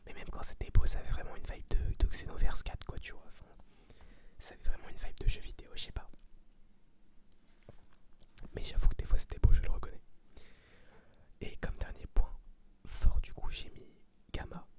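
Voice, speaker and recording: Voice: normal speed, pauses often. An ASMR host whispering a review in an informal, slangy, rambling way. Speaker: ASMR video host giving a film review.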